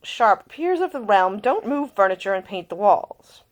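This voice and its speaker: Woman narrator as older gentleman lord, formal, deliberate, probing, lively pitch swings, storybook uncle. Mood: gently pressing.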